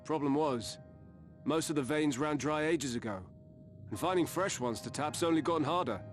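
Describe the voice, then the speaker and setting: A man's voice: on the high side, moderate pace, lightly acted. A man playing a plain-spoken, sombre working villager in a fantasy video game, folksy and reflective. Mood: sombre, reminiscing. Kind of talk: video game dialogue acting. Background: faint music.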